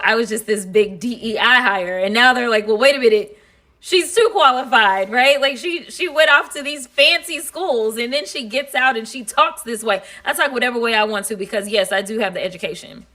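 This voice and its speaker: A woman's voice: on the high side, normal speed, bright, forceful tone. A woman with a Texan accent talking casually and sassily to her followers in a direct-to-camera social video. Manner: sassy, conversational, addresses viewers as y'all.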